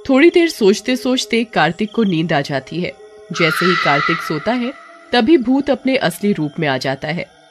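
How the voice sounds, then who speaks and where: Lively, dramatic storybook narrator. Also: medium pitch, moderate pace, loud music behind the voice.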